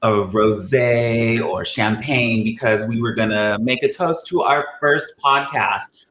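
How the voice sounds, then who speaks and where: Male podcast co-host, low-ish voice, slow and sardonic; echoey home room, faint noise. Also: a bit bright. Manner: playfully sarcastic, mock-bitter. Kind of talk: casual chat.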